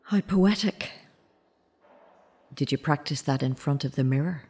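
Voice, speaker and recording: A woman's voice: low-pitched, measured pace, warm tone, breathy edge. A middle-aged woman acting in an audio drama as an anxious, wounded mother of a teenage son, hesitant in her speech. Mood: cool, guarded.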